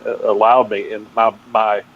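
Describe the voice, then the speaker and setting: A middle-aged man with a Texan accent giving a reflective interview as a guest, speaking haltingly and searching for his words. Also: lowish voice, level tone. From Texas, United States. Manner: halting, searching for words with repeated false starts. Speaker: interview guest, pastor and seminary teacher. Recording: dull, band-limited audio with faint noise.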